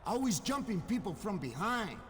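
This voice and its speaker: High-voiced cocky wrestler, animated, echoing. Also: male voice, normal speed, a little hiss or hum. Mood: sly, casual. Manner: streetwise, slangy, defiant. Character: cocky, scheming male pro wrestler.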